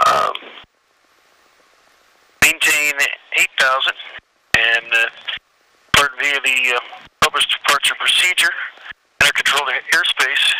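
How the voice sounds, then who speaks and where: A man working as a clearance controller, reading out instructions haltingly, pausing between phrases, over a phone call. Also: mid-range voice. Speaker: flight data clearance controller. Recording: noisy phone call heard in cockpit.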